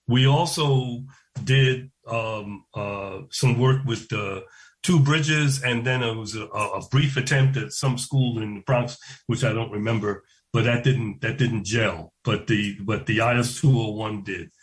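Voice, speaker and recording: A man, a guest recalling his past in an interview, slow and reflective and often repeating words as he restarts. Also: lowish voice. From New York. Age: 70s. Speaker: guest, education activist. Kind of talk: interview, personal recollection.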